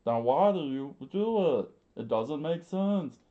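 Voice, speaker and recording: A man's voice: fairly high pitch, animated, slow, pitched above the performer's own voice. A man voicing a stern young rebel leader, firm and dismissive, on a dull lo-fi recording.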